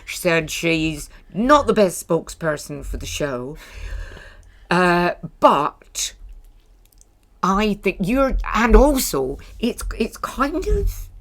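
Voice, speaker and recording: A woman's voice: on the low side, big pitch swings, takes it slowly. An older woman, hosting a chatty podcast interview in a blunt, wry manner. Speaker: podcast host. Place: small stage in a bar venue.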